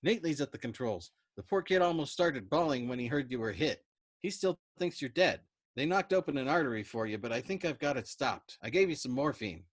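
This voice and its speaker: A man's voice: mid-range voice, lively intonation, fairly quick, close to an everyday voice. A man reading an audiobook, voicing a good-humored airman who is tense but reassuring. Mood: relieved, reassuring. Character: good-humored, friendly airman tending a wounded comrade. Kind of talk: acted audiobook dialogue.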